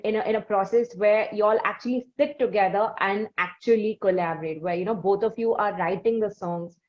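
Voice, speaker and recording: A woman from India hosting an interview, asking long questions in a measured way with frequent "uh" and "you know". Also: medium pitch. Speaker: interview host. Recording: dull lo-fi sound.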